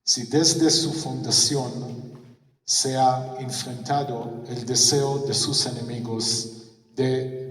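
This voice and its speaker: A man who speaks first delivers a memorial address in careful, halting Spanish, going very slowly and restarting on hard words. He is heard from a distance in a large concert hall with a long, roomy echo. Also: medium pitch, fairly bright tone. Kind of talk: formal speech read in non-native Spanish.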